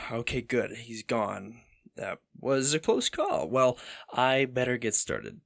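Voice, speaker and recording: A man's voice: mid-range voice, wildly swooping pitch, takes it slowly, vocal fry, dry recording, studio quality. A man narrating his own creative tutorial video casually, with playful, theatrical, comedic delivery. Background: background music.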